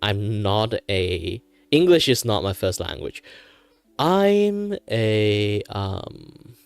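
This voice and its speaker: Man, 30s, from Malaysia, native Chinese speaker, casual chatty streamer, lively pitch, dry close sound. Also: very slow, gravelly, clean tone, dry recording. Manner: chatty, filler-heavy, laughs often. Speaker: streamer and aspiring voice actor. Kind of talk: casual chat while drawing on stream.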